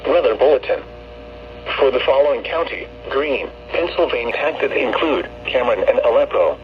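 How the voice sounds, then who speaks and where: Synthetic man, weather bulletin reader, impersonal measured delivery, lo-fi radio sound, light hum and noise. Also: mid-range voice, expressive. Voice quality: synthetic text-to-speech. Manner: formal, measured, impersonal announcing. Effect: weather radio broadcast. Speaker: automated weather radio announcer. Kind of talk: scripted severe weather warning bulletin.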